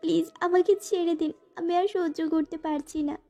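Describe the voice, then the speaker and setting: A woman's voice: extremely high pitch, flat delivery, fairly quick, creaky, mellow, faint music behind. A woman playing a frightened, pleading young student bride in a dramatized first-person romantic audio story. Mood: pained, pleading. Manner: plaintive, pleading.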